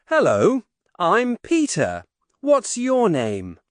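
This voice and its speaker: A woman voicing a polite, cheerful young schoolboy in a scripted textbook dialogue, speaking slowly and carefully. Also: medium pitch, huge pitch swings, no room sound, studio quality, exaggerated voice. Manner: slow, carefully spoken.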